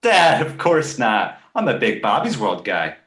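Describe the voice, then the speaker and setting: A man's voice: mid-range voice, huge pitch swings, measured pace, fairly bright tone. A man playing a precocious, sarcastic two-month-old baby boy who talks like an articulate adult, in a comedy table read. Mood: mock innocence. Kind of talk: live table read of an animated comedy script. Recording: echoey room sound.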